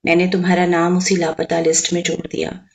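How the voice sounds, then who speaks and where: A person reciting poetry in a solemn, measured manner, with pauses and some hushed, breathy phrasing. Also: mid-range voice, completely flat delivery. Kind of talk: dramatic Hindi poetry recitation.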